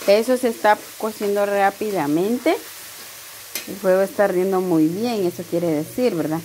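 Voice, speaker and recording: A woman's voice: medium pitch, normal speed, low-quality recording. A Guatemalan woman hosting a casual home cooking show, explaining each step in a friendly way and often adding a questioning tag at the end. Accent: Guatemalan Spanish. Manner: friendly explaining, many rhetorical "¿verdad?" tags. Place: home kitchen. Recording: loud sizzling and kitchen noise.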